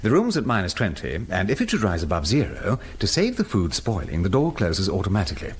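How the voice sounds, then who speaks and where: A man's voice: sits low, huge pitch swings, brisk, slight background noise. A British man acting in a radio drama as an eager, apologetic deputy, deferential and a little hesitant. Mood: eager, explaining.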